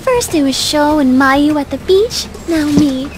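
A woman's voice: sits high, expressive, unhurried, music in the background. A woman playing a confident, playful young female engineer in a voiced visual novel game. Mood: teasing. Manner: playful, self-assured.

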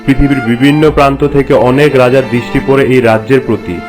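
A man's voice: medium pitch, steady tone, quick pace, lightly acted. A man playing a reverent royal courtier in a cartoon, explaining things formally and respectfully to his queen. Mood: respectful, solemn. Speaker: storytelling courtier. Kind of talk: scripted cartoon dialogue in formal narration. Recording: music bed under the voice. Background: music.

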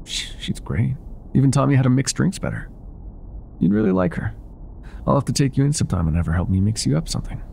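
A man playing an older ex-mafia boyfriend in an intimate ASMR roleplay, speaking softly and affectionately with some hesitation. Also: medium pitch, animated, quick pace, gravelly, a little hiss or hum. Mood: teasing, warm. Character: older ex-mafia boyfriend, tender, teasing, vulnerable bar owner.

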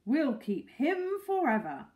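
A woman voicing an eager, nervous, lovestruck gentleman from a storybook, in an earnest, jittery way. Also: high voice, very animated, slow, heavily acted. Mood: happy.

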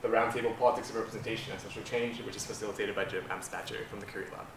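A young man opening a university panel event, quick and slightly halting, with repeated words, recorded in a seminar room. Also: fairly low pitch, fairly even intonation, distant mic. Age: young adult. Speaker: event host introducing the panel. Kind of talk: opening announcements. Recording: echoey room heard from afar, background noise.

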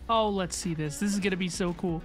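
Woman reacting excitedly over game music. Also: on the low side, brisk. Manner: exclamatory, repeats phrases, slangy. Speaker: reactor, video host. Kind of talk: casual excited reaction commentary.

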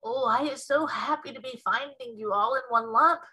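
A woman's voice: sits high, normal speed, sharp tone. A woman voicing a kindly, earnest male giant with emphatic, dignified speech in a storybook read-aloud. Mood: anxious, tender.

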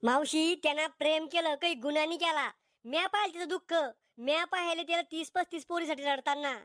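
A man's voice: very high-pitched, fairly quick, sharp tone. A man voicing a cheeky young friend who preaches mock-earnestly, in a comic cartoon style with a Vidarbha Marathi accent. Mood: preachy. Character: cheeky, philosophizing young friend defending young love.

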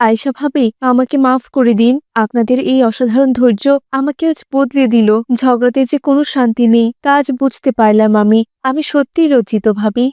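A woman's voice: high voice, steady tone, a little fast, few pauses, warm tone, no room sound, studio quality, natural voice. A woman playing a proud, quarrelsome neighbourhood wife in a dramatic cartoon. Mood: remorseful. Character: haughty, quarrelsome neighbourhood wife, later contrite.

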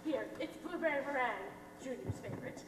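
A woman's voice: high voice, animated, brisk. A young American woman playing a pompous, gushing middle-aged mother in a stage melodrama, declaiming grandly. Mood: melodramatic, doting. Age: young adult. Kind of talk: projected stage acting. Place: theater stage, distant camera. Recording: echoey noisy hall from far off.

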